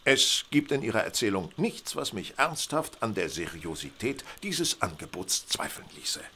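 A man's voice: fairly low pitch, very animated, moderate pace, bright, forceful tone, slight background noise. An actor playing a coolly logical, condescending male detective in a German radio play, speaking precisely and formally. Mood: brisk, dismissive.

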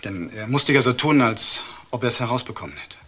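An actor playing a hired schemer in a crime drama, explaining himself haltingly under police questioning. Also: male voice, medium pitch, expressive, fairly bright tone, husky. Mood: confessing.

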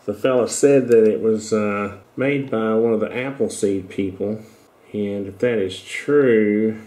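A man's voice: on the low side, a little slow, warm tone. A middle-aged man with a stuffy, congested voice, casually showing off a hobby project in a rambling, self-correcting way, recorded on a headset mic in a small room. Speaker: video host. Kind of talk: casual show-and-tell talk.

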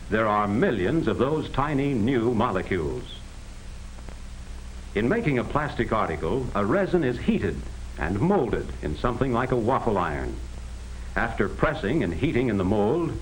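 An American man reading scripted 1940s educational film narration in a measured, explanatory manner on a vintage soundtrack. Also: mid-range voice, a little hiss or hum, low-quality recording. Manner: measured, explanatory, addressing the listener directly.

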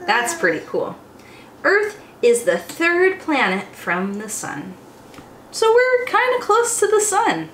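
A young woman hosting storytime as a teacher, reading aloud to small children in an expressive, exclamatory way. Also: extremely high pitch. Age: young adult. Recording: roomy echo, faint background noise.

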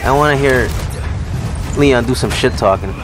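A man's voice: mid-range voice, normal speed, noisy background. A man giving casual, profane video game commentary with a mocking, slangy way of talking. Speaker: gaming streamer. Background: video game music and effects.

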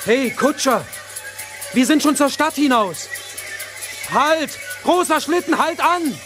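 An actor playing a headstrong young boy in a fairy-tale audio play, with an expressive, youthful manner. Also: extremely high pitch, slow, over music, heavily acted. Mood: alarmed. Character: young boy, headstrong, scornful, easily enchanted.

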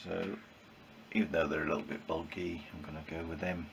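A man giving informal hands-on workshop commentary in a halting, matter-of-fact way with clipped sentences. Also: deep voice, steady tone, gravelly. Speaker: presenter of a motorbike build vlog. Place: small wooden shed workshop. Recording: noisy workshop recording with background ambience.

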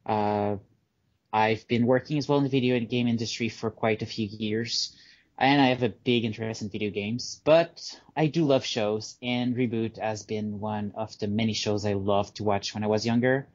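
A man in his late twenties with a French Canadian accent, talking casually on a podcast, a bit halting as he speaks. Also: fairly low pitch, fairly even intonation, highs cut off. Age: 20s. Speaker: podcast co-host.

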